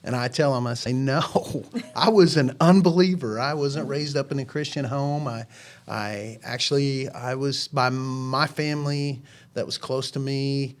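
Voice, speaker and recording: A man's voice: medium pitch, steady tone, unhurried. A man in his forties, a ministry founder, telling his story as a podcast guest in an earnest, rambling way with many restarts. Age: 40s. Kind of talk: casual chat.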